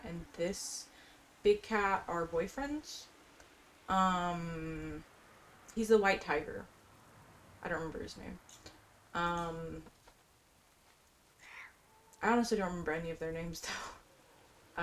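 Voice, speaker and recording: A woman's voice: on the low side, slight background noise, poor audio. A woman doing casual gameplay commentary, chatty and musing as she plays and reads quest text aloud. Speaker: gameplay streamer. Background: faint game music.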